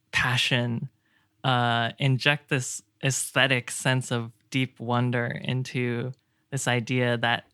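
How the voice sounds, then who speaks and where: A podcast guest speaks in a smooth, clear voice at a middling pitch. The delivery is reflective and earnest, thinking ideas through aloud, and the recording is dry and close. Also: a bit bright, no echo.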